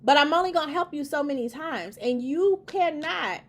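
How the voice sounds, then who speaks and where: Emphatic woman lecturing, high voice. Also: animated, moderate pace. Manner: emphatic, repetitive, preachy lecturing. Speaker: commentary host. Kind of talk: opinion monologue to camera.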